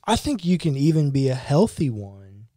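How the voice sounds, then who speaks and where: A young man, a pastor, talking on a podcast in a measured, earnest and reflective way. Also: fairly high pitch, sing-song, mellow, no room sound, clean studio sound. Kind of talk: casual chat. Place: small home studio room. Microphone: boom-arm podcast mic.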